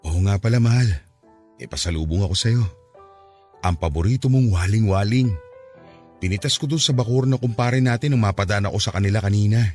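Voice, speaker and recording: Actor as deep-voiced, devoted Filipino farmer husband. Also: male voice, a little fast, long pauses, a little mellow, faint music behind, lightly acted. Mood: sweet, playful. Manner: earnest, affectionate. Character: aging, hardworking, devoted farmer husband, strict sweet father. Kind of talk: Tagalog radio drama acting.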